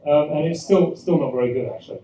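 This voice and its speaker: Brief: British pastor, self-deprecating storyteller, slightly high, mellow tone, faint room ambience behind. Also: male voice, animated, fast talker, a little hiss or hum. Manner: self-deprecating, anecdotal, many restarts. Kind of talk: church talk to an audience. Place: church event room. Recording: echoey room, mic far off, faint ambience.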